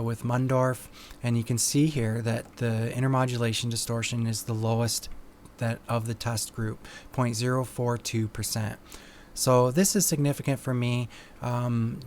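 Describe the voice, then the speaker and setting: A man giving a technical explanation of test results as an audio engineer, in a measured, halting delivery. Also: mid-range voice, a little hiss or hum. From Canada.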